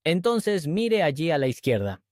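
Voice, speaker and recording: A man reading simple scripted dialogues slowly and clearly, then speaking as the host of a language lesson. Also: on the high side, no room sound, clean studio sound. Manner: clear, even, measured delivery.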